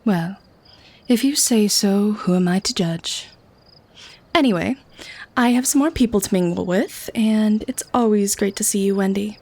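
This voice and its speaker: A woman's voice: medium pitch, a little slow, darkish tone. A woman playing a haughty, teasing vampire who keeps prisoners, in an ASMR-style audio drama. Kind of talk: ASMR audio roleplay acting.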